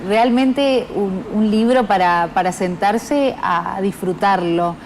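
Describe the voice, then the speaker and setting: Argentine woman hosting a book chat, keeps saying uh-huh, mid pitch, faint noise. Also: slow, darkish tone, low-quality recording. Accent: Argentine Spanish. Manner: attentive, gives frequent short backchannels. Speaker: interviewer host. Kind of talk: interview conversation.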